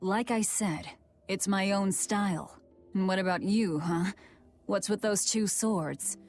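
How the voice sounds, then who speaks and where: An actress playing a cold, guarded young female demon fighter with a curt, deadpan manner in a video game. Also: mid-range voice, takes it slowly, faint music behind. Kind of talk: English video game voice acting.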